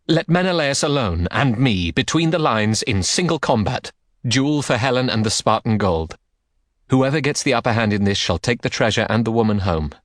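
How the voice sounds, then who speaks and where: A man's voice: on the low side, no room sound, clean studio sound. A man voicing a defiant young prince. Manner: defiant, declamatory. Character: proud young prince challenging a rival.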